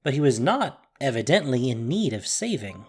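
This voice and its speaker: Lady narrating an epic story, very dramatic, pitch swoops around, serious, music behind. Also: big pitch swings, normal speed, a little mellow, slightly rough.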